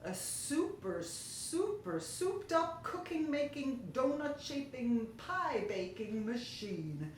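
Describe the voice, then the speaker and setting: A woman voicing a jealous male storybook baker in dread of ruin, with a dramatic, drawn-out, tearful delivery. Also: high-pitched, very animated, recorded from a distance, pitched above the performer's own voice, full character voice. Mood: dreadful, tearful. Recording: very echoey room, faint noise.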